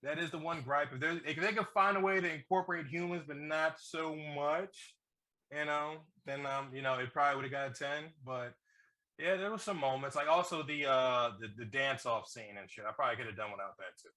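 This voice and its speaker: A man's voice: a little high, fairly quick, pushed and bright. A man co-hosting a movie review podcast, chatting casually and jokingly in loose street slang with plenty of cursing.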